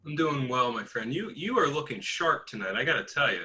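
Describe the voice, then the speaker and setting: A man's voice: mid-range voice, very animated, brisk, sharp tone, slight background noise. A man interviewing a student in a chummy, complimentary way. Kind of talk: friendly interview.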